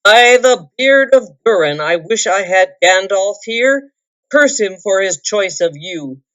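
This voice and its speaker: Woman as wrathful dwarf king, proud, bitter, loud commands, storybook drama, dull recording. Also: medium pitch, animated, slow pace, no echo. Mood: enraged. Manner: shouting, grim, commanding.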